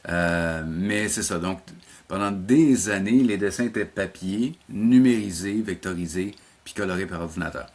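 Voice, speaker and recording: A middle-aged man with a low voice and a Quebec French accent answers interview questions like a teacher. He explains casually and carefully, rephrasing as he goes. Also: moderate pace, a little mellow. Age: middle-aged or older. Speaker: interview guest, animation teacher.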